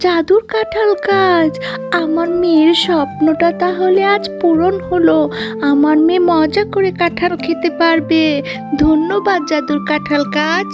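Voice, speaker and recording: A woman voicing a poor, loving animal mother in a children's cartoon, tender and coaxing as she gently admonishes. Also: extremely high pitch, fairly even intonation, unhurried, over music. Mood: grateful.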